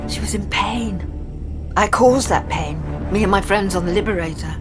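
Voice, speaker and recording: A woman's voice: mid-range voice, moderate pace, natural voice. A woman playing an intense, troubled telepath rebel in an audio drama. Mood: remorseful. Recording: trailer music behind the voice.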